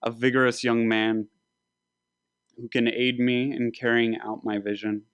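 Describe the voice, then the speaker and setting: A man voicing a commanding, manipulative biblical warrior king in a formal, measured, grandiose manner. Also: mid-range voice, level tone, fairly bright tone, clean tone, no room sound, close to an everyday voice. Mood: smoothly sinister.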